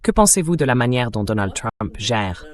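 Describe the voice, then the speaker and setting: Medium-pitched man as interview host. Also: big pitch swings, moderate pace. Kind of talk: translated interview voice-over, news-based questions.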